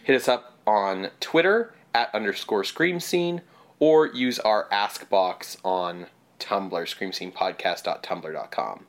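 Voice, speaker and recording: A young man from Canada co-hosting a podcast, his voice a bit stuffed up and nasal. He talks casually, with a lively pitch that rises and falls a lot. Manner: chatty, animated delivery with quick asides. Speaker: podcast co-host.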